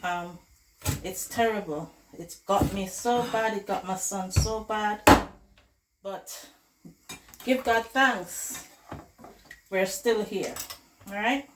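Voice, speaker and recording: A woman with a hoarse, flu-worn voice, chatting casually to viewers as she cooks in her home kitchen. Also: mid-range voice, slow pace, pauses often, background noise. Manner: chatty, addresses viewers as guys. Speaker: cooking vlogger host. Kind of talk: casual cooking tutorial chat. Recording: echoey kitchen with loud clatter. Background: pots, dishes and running water.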